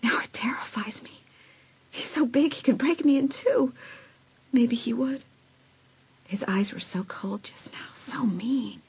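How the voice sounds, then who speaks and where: Breathy, anxious teenage girl. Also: a little high, a little slow, pauses often, exaggerated voice, low-quality recording. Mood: anxious, brooding. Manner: breathy, often dropping to urgent whispers. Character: anxious, frightened teenage girl keeping a secret. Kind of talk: dramatised audiobook acting with inner monologue.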